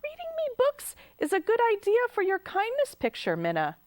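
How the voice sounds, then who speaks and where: Lady doing a tiny kid voice, chirpy high and fast, dry sound. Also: huge pitch swings, a little mellow, dry recording, heavily acted. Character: small child sibling.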